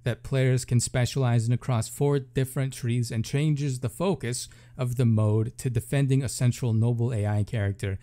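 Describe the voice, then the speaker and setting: Casual man with a mellow voice. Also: medium pitch, fairly even intonation, normal speed, hardly any pauses. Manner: informal, thinking aloud while reading. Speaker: gaming video creator. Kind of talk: casual live reaction commentary. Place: room at home.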